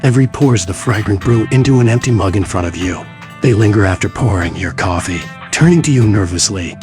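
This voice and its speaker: A man's voice: on the low side, animated, fairly quick, over music, close to an everyday voice. A man reading scripted, descriptive and wry second-person narration for a visual novel.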